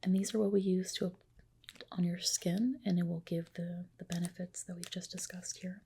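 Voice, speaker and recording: A woman whispering a soothing ASMR roleplay as a reassuring clinician, in a soft, breathy voice. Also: fairly low pitch, little pitch movement, moderate pace, slight background noise.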